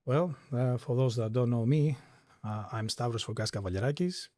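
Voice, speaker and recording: Man, warm mellow tone, halting delivery full of fillers, dry mic. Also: mid-range voice, dry recording. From Greece. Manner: hesitant, many fillers. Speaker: claims manager. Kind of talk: podcast conversation.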